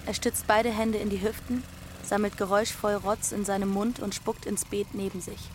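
A woman's voice: medium pitch, level tone, moderate pace, a little mellow, slight background noise, close to an everyday voice. A woman playing a young runaway girl who narrates in a plain, observant way in a radio drama. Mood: matter-of-fact. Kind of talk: scripted first-person narration.

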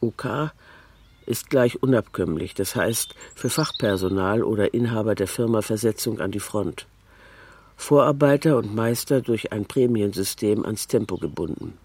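Male documentary reader, deepish rough-edged voice, dry sound, clipped report style, strictly factual. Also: normal speed, darkish tone, no room sound. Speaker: narrator. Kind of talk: scripted documentary narration.

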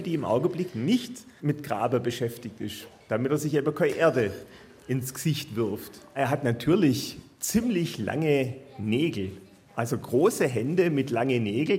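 Matter-of-fact male animal expert, mid-pitched. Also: a little mellow. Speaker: zoologist, expert guest. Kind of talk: informal explaining of animal facts for children.

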